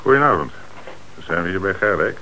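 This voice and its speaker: Deep, firm Dutch police commissioner. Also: male voice, animated, fairly quick, pushed and bright. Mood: official, firm. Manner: terse, authoritative questioning. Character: male police commissioner, firm, probing, businesslike. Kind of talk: radio play acting. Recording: noisy old radio play recording.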